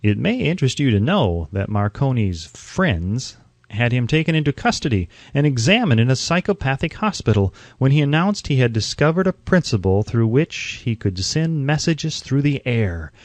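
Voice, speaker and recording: Male audiobook narrator, mid-range pitch, measured oratorical delivery, scripted self-help reading, dry close recording. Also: big pitch swings, no echo.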